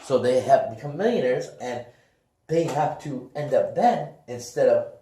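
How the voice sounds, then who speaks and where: A man with an average-pitched voice co-hosts a casual podcast. He builds up each point with emphasis and interjections. The room echoes strongly, with faint noise behind him. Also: expressive, normal speed, dark tone. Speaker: podcast co-host. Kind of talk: casual video podcast chat.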